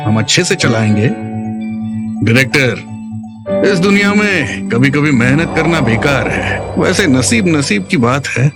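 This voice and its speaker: A man's voice: medium pitch, lively intonation, measured pace, vocal fry. A man playing a commanding, coolly threatening business executive in a dubbed drama. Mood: dismissive. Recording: instrumental music behind the voice.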